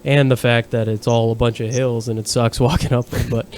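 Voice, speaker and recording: A Portugal-born man co-hosting a casual podcast, chatty and animated. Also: mid-range voice, brisk. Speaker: podcast co-host.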